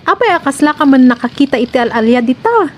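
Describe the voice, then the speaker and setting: A woman's voice: high voice, big pitch swings, quick pace, a little mellow, highs cut off, a little hiss or hum. A woman playing a flirty, teasing neighbour in a radio comedy drama, with a coy manner and an Ilocano accent.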